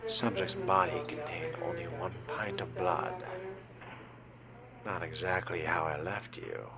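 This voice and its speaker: A man with a very low voice narrates a game in character. His delivery is very slow and deliberate, formal in wording and often hushed, as if musing to himself. Music plays clearly behind him. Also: sing-song. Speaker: game player role-playing a vampire character.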